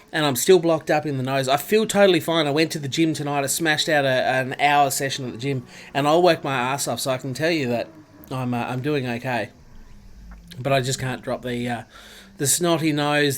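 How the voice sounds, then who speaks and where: Australian man, stuffy nasal voice, live-stream host, chatty, greets viewers by name, mid pitch. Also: fairly bright tone. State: congested, nasal from a cold. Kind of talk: casual live-stream chat over gameplay.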